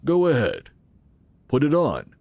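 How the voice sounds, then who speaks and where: An actor playing the calmly authoritative founder of a sci-fi organization in an audio drama, speaking instructively. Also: male voice, medium pitch, slow, creaky, slight background noise, exaggerated voice. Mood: instructive, assured.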